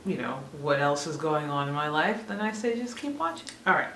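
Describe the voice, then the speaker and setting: A woman's voice: low voice, expressive, fairly quick, a bit bright. Woman, 30s, casual vlog chat, rambling, self-laughing, roomy echo, distant mic. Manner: chatty, rambling, laughs at herself. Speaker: vlogger. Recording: echoey room, mic a bit far off, faint hiss.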